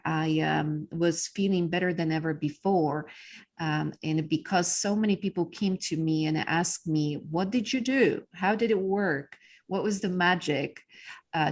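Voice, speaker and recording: A middle-aged woman from Poland with a Polish accent, a guest telling her story in a casual interview with many ums and uhs. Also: lowish voice. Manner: frequent fillers, earnest storytelling. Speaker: podcast guest, business coach.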